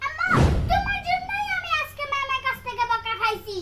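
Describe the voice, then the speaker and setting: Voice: very high-pitched, lively intonation, fairly quick, over loud music. A performer voicing a cheeky, lazy, whiny little schoolgirl in a Bangladeshi accent for a children's comedy cartoon. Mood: whiny, excusing. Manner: cheeky, wheedling, argumentative. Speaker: cartoon character voice.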